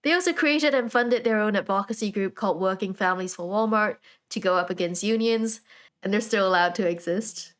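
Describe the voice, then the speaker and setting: A woman's voice: mid-range voice, a little fast. A woman hosting a video essay, talking in an informal, conversational and wry way. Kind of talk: casual video essay commentary.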